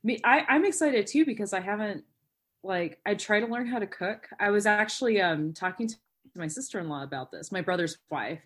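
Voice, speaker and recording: Chatty woman telling animated stories. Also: mid-range voice, a little fast. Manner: chatty, laughs often, acts out quotes. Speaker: podcast guest, teacher. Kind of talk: casual podcast chat.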